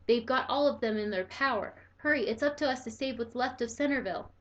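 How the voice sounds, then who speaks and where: A woman reading aloud and voicing an excitable, dramatic male cat who breathlessly warns of danger. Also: a little high, fairly quick, muffled recording, lightly acted. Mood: alarmed. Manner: breathless, urgent warnings. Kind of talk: storybook read-aloud.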